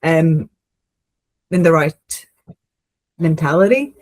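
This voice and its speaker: Earnest podcast host with an Israeli accent. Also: female voice, deep voice, animated, slow, darkish tone. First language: Hebrew. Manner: earnest, reflective, and supportive. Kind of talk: interview conversation.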